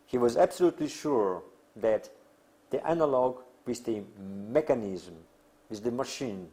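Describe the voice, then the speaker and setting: A man who is a non-native English speaker lecturing on theory in halting, deliberate phrases with emphatic pauses. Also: medium pitch, warm tone. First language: not English. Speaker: academic lecturer.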